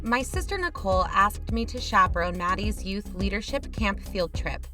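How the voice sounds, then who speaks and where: A woman's voice: medium pitch, expressive, moderate pace, over music, close to an everyday voice. A woman playing a reluctant, sardonic younger sister who hosts an investigative podcast in an audio drama, talking casually. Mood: narrating, uneasy. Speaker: podcast host character. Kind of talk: conversational audio fiction acting.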